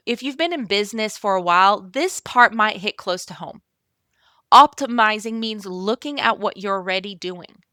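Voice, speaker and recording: A middle-aged woman from Belgium hosting her podcast, speaking gently and encouragingly straight to the listener in a calm scripted monologue. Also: mid-range voice, no room sound, clean studio sound. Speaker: podcast host and operations consultant.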